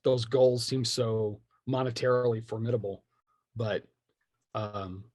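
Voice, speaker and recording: Animated, opinionated man in dry close sound. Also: medium pitch, measured pace, dry recording. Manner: opinionated, slangy, acts out imagined quotes. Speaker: podcast guest. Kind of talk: animated casual conversation.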